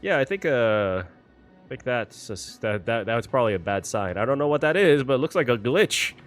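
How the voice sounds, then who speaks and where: A man's voice: mid-range voice, animated, fairly quick, faint music behind. A man giving casual reaction commentary while gaming, rambling, repeating phrases and swearing casually. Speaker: gaming content creator.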